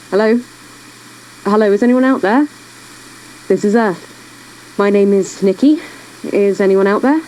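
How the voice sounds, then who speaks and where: A woman's voice: mid-range voice, a little mellow, natural voice, poor audio. A woman playing a lone person radioing out from Earth in an audio drama, calling out repeatedly in a projected voice.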